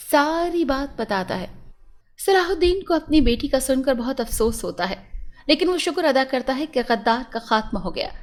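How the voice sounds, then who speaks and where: Woman with a highish voice telling a scripted fairy tale. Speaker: storyteller.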